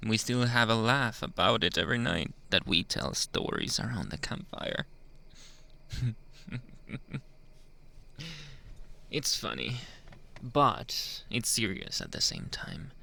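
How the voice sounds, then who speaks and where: Slow, gravelly man playing a flirty werewolf. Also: lowish voice, very animated, pushed and bright, exaggerated voice. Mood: boastful, playful. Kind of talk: ASMR roleplay monologue.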